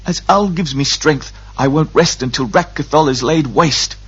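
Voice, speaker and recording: A man acts out a religious zealot in a fantasy audiobook. His pitch is pushed well up, and he mutters in strangled, fervent disgust. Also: moderate pace, a bit bright, slightly rough, no room sound, muffled recording.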